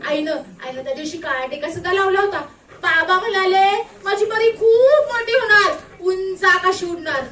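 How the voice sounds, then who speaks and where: A woman's voice: very high-pitched, moderate pace, a bit bright. A woman playing an anguished, defiant young acid attack survivor in a melodramatic, tearful theatrical monologue, repeating her phrases. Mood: tearful, wistful. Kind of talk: theatrical one-act stage monologue. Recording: echoey room, distant mic, noisy.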